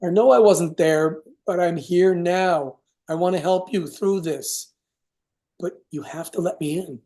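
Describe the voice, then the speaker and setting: A man acts out a middle-aged father in a contemporary stage drama. His voice is mellow and dark, and he pleads earnestly and apologetically with his grown son, full of remorse. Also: high-pitched, measured pace. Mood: gently insistent. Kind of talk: staged reading of a contemporary play.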